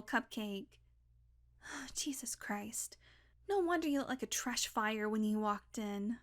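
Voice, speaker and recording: A woman playing a snarky, teasing, grudgingly caring vampire in an intimate audio roleplay, with casual, sardonic banter. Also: sits high. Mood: exasperated.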